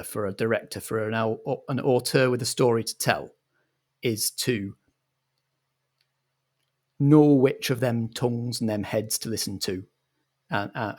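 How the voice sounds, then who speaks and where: A man playing a pompous, earnest auteur director, husky and halting, in a mock documentary interview. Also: mid-range voice. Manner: halting, portentous, repeats words.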